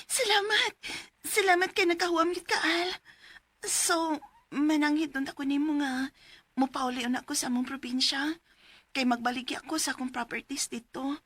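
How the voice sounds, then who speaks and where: Very high-voiced, coaxing Cebuano wife. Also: female voice, fairly even intonation, moderate pace, a bit bright, no echo. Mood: grateful, coaxing. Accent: Cebuano Filipino. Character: young Filipino wife, worried about debts, coaxing. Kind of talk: radio drama acting.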